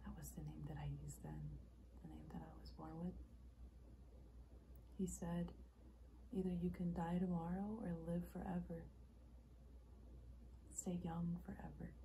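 A woman performing a dramatic monologue as an earnest, ageless young woman making an intimate, halting confession to her lover. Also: lowish voice, flat delivery, gravelly, warm tone, a little hiss or hum, rough recording. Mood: hushed, confessional.

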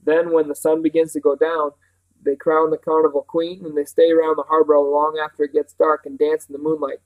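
A man's voice: a little high, flat delivery, brisk, phone-quality sound, close to an everyday voice. Man playing a bustling, chatty village housekeeper. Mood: eager, chatty. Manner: chatty, rustic dialect turns of phrase. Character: bustling, fond, cheerful older village housekeeper woman.

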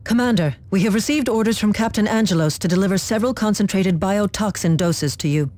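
A man's voice: sits high, a little fast, a little mellow, close to an everyday voice. An actor playing a dutiful military aide in a video game, reporting to his commander in a formal, earnest way. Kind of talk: video game mission dialogue.

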